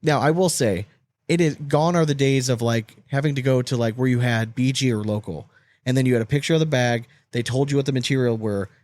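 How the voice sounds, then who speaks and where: A man's voice: mid-range voice, a little fast, darkish tone, no room sound. A man co-hosting a casual sports podcast, talking in a rambling way and repeating phrases for emphasis. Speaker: podcast co-host.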